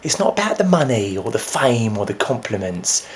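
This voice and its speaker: A man performing a dramatic stage monologue as a grieving, frustrated friend of a lost musician, speaking earnestly with halting repetition. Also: mid-range voice, very animated, close to an everyday voice. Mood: earnest, pained. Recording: faint noise behind the voice.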